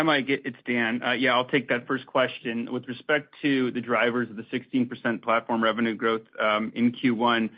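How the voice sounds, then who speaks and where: A man speaking as a finance executive answering on an earnings call, halting and often restarting. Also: medium pitch. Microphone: conference call line. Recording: muffled conference call audio.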